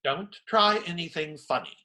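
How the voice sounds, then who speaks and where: A man's voice: on the high side, lively intonation, normal speed, bright, forceful tone. A man acting in a radio play as a stout, strong friar who is indignant, pious and sarcastically preachy. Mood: threatening, sardonic. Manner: theatrical, sermonizing sarcasm.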